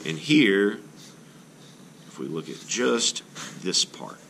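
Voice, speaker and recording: A man reading a children's book aloud as an art teacher, in an expressive, explanatory way meant for children. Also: fairly low pitch, slow, creaky, slight background noise.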